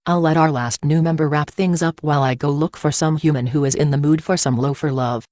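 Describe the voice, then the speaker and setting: A woman's voice: deep voice, steady tone, fairly quick, very noisy, natural voice. A woman doing a brash gargoyle warrior woman. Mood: boastful. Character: boastful female gargoyle warrior.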